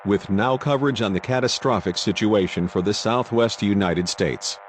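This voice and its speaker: A radio news announcer, played by a man, reads a bulletin in a composed, formal manner. The voice is fairly low, with faint noise behind it. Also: moderate pace, close to an everyday voice.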